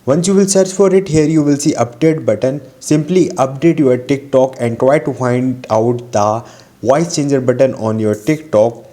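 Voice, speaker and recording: A man's voice: medium pitch, moderate pace, mellow. A man narrating a step-by-step tutorial voice-over in simple, non-native English phrasing. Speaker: tutorial host.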